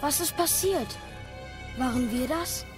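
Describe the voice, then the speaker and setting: A woman's voice: high-pitched, takes it slowly. A performer playing an eager, curious young boy in an audio drama, often questioning. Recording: music behind the voice.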